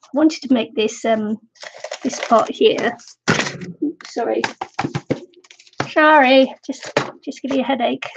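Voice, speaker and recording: A woman's voice: fairly high pitch, vocal fry, dull audio, a little hiss or hum. A middle-aged woman chatting casually while crafting on a live stream, slightly nasal from a cold, rambling and giggling often. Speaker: craft vlogger.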